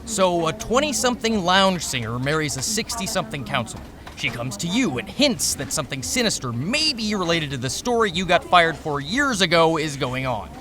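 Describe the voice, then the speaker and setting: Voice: medium pitch, big pitch swings, moderate pace, a crowd in the background, lightly acted. An actor playing an exasperated, sarcastic veteran newspaper editor in a noir audio drama, long-winded and biting. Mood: sarcastic, incredulous.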